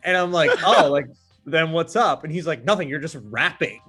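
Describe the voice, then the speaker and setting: Male guest, mid-high voice, relaxed storyteller, light music under. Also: normal speed, husky. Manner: laid-back storyteller, laughs mid-story. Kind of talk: casual storytelling chat.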